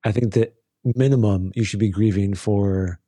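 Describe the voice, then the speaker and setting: A man co-hosting a podcast over a call, cracking deadpan teasing jokes in casual chat. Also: on the low side, moderate pace, no room sound. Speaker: podcast co-host.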